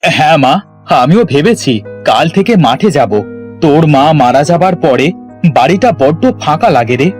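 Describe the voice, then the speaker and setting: Man acting a very animated village farmer. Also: fairly high pitch, a little slow, soft background music. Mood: mournful. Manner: dramatic, expressive. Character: village farmer, grumbling husband and doting father. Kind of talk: cartoon story character acting.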